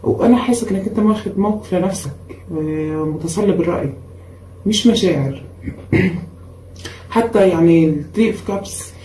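Woman tarot reader, tired voice, emphatic repeats, dark tone, faint room noise. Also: fairly low pitch, echoey room, rough recording. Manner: repetitive and emphatic, with frequent pauses and fillers. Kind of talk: casual tarot reading for viewers. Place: indoor room at a table with cards and a candle.

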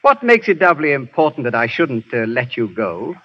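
An actor in a 1930s radio play voicing a suave, sinister male professor who runs a spy ring, with a smooth, menacing manner. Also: medium pitch, big pitch swings, normal speed. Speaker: villain. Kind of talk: 1930s radio drama acting. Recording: dull 1930s radio broadcast.

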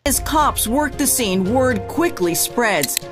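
Female news narrator, scripted true-crime read, measured and grave, slightly high voice, music bed. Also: expressive. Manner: measured, grave newsreader delivery.

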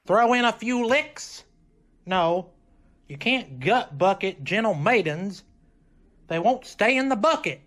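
A young college bandleader type played by a woman in a radio comedy. The voice sits at a middle pitch, blunt, slangy and matter-of-fact. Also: lively intonation, takes it slowly, fairly bright tone. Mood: blunt, resigned. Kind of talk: old-time radio comedy acting.

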